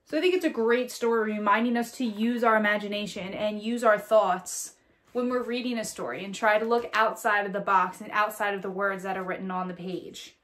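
A woman's voice: mid-range voice, brisk. A woman around her thirties, a teacher reading a picture book aloud to children and talking to them directly with little questions. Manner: addresses young listeners directly with questions and tag "right?". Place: small indoor room at home or classroom corner.

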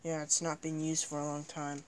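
A woman's voice: low-pitched, monotone, normal speed, slight background noise. A woman filming a ghost-hunting vlog on a handheld phone inside a dim house, exclaiming and repeating herself as she looks around. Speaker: vlogger exploring a house. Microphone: handheld phone camera.

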